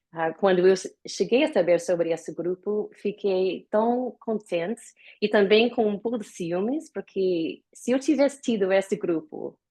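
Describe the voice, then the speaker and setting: A woman from the United States gives a live online talk in a language she learned later, pausing often with many fillers. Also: lowish voice, darkish tone. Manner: hesitant, with many fillers and pauses. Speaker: guest speaker. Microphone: video call.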